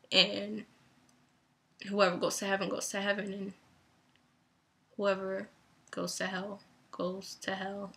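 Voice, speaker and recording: A young woman in a reflective personal vlog, speaking thoughtfully and deliberately about faith, her voice going dry and raspy. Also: mid-range voice, pushed and bright. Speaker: vlogger and author.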